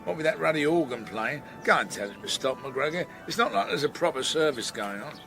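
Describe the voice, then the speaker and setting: An actor playing a gruff, greedy, bullying male chief inspector in a radio drama, rude and blunt. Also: a little high, expressive, fairly quick. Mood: grumpy.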